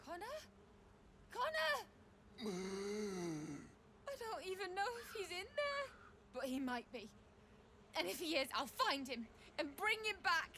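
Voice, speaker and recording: A woman playing a grieving young widow in a video game, blunt, emotionally raw, loyal and quick to fury. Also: very high voice, big pitch swings, background noise, exaggerated voice. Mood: anxious hope.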